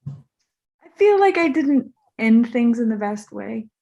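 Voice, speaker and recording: A woman's voice: on the high side, sing-song, slow, vocal fry, warm tone, muffled recording, heavily acted. A woman reading the part of a hapless, apologetic young male star, hesitant and stammering, at a comedy table read. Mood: awkward, apologetic.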